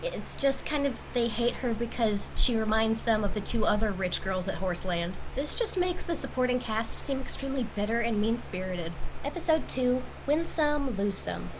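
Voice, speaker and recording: A woman's voice: a little high, animated, moderate pace, few pauses. A woman giving wry, critical recap commentary on a cartoon, recorded with noise in the background. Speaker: video commentator reviewing a cartoon.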